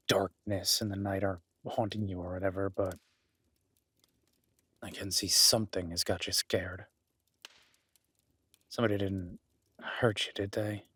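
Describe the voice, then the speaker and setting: A man acts out a gruff, skeptical loner in a remote cabin for an intimate audio drama. His voice is low and rough-edged, recorded close and very dry. He is blunt and sweary, yet grudgingly caring and reassuring. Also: a bit bright, dry recording. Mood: cautious concern. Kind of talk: immersive audio roleplay, intimate naturalistic acting.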